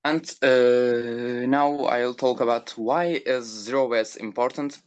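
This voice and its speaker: Slow, halting teenage boy presenter. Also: mid-range voice, steady tone, clear, resonant tone. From Turkey. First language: Turkish. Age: teens. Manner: halting, reads points with fillers. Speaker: student speaker. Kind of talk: presenting a talk at an online conference. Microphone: remote call microphone.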